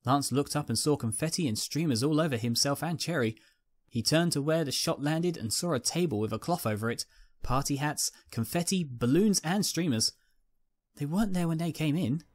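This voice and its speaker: A woman's voice: low-pitched, a little mellow. A woman narrating a scripted fan fiction story as its reader.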